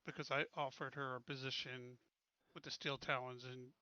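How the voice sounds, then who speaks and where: A man's voice: medium pitch, flat delivery, moderate pace, sharp tone, no echo. A man chatting casually as a player in a tabletop roleplaying game, on a dull, close recording.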